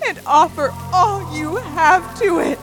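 Ominous archaic oracle woman acting, high and slow, solemn incantation for audio horror. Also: music in the background, low-quality recording. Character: ominous prophetic voice of dread, archaic and commanding. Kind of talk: audio horror drama monologue.

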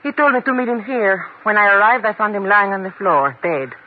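A woman's voice: medium pitch, animated, fairly quick, narrow, tinny audio, lightly acted. On a thin, slightly noisy 1950s radio recording, an actress plays a mysterious woman who deals in secrets. She is cool and businesslike in the manner of a spy drama. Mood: guarded.

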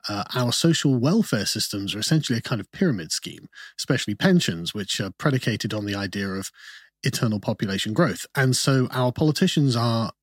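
A man from the UK, a commentator giving measured explanations as a guest in a studio interview. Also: mid-range voice, animated, husky, dry recording. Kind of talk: podcast interview.

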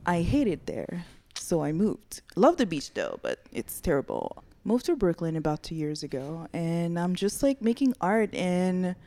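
A woman's voice: lowish voice, very animated, unhurried, warm tone. A young woman from Haiti, chatting and laughing as a guest on a podcast. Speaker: guest artist. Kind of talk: casual interview chat.

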